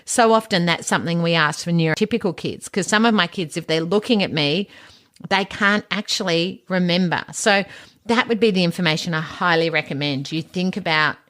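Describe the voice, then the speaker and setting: A woman's voice: on the low side, a little fast, fairly bright tone. A woman hosting an education podcast, talking informally and emphatically in a teaching monologue with rhetorical questions. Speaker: podcast host and education presenter.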